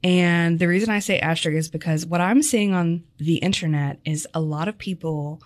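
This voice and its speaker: A woman hosting a casual interview podcast, chatty and upbeat, her voice slightly hoarse. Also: lowish voice, moderate pace, no room sound. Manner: chatty, upbeat, jokey asides. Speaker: podcast host.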